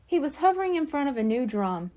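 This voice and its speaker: A woman reading a children's story aloud as scripted, expressive narration. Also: high-pitched, fast.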